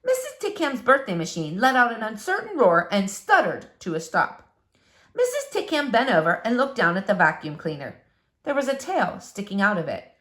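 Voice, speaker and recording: A woman narrating a children's storybook aloud with expressive, dramatic, deliberate phrasing. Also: fairly high pitch. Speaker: storybook reader.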